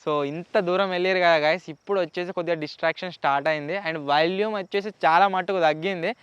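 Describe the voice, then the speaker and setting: Fast, high-pitched Telangana Telugu man. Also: fairly even intonation, fairly bright tone, smooth, no echo, muffled recording. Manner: mixes English words into speech. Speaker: vlog host. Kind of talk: presenting a gadget test to camera. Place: outdoors in open area with trees.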